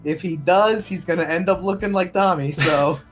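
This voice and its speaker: A man's voice: high voice, normal speed, music in the background. A man playing a tabletop roleplaying game, chatting and commenting casually at the table.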